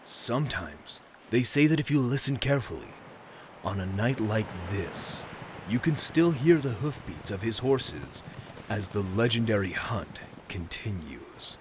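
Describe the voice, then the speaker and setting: An actor playing a good-natured, easily flustered young Viking prince who tells tales theatrically with dramatic pauses. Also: male voice, on the low side, expressive, noisy background. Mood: solemn storytelling. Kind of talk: scripted fairy-tale storytelling. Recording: music behind the voice.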